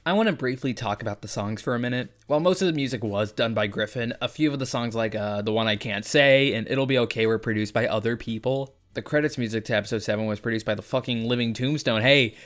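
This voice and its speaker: A man's voice: mid-range voice, fairly bright tone. A man hosting a casual video essay, talking in a sardonic, joking way and swearing freely.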